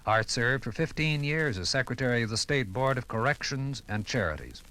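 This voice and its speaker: A male documentary narrator in 1950s radio style. He phrases formally and deliberately with a dramatic touch, his mid-range voice swinging through very lively inflection. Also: mid-range voice.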